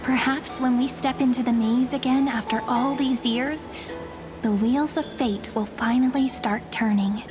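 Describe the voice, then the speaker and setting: An actress playing a gentle, teasing young woman in a video game, speaking softly and serenely. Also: on the high side, steady tone, a little slow, close to an everyday voice. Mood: tender, wistful. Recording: background music under the voice.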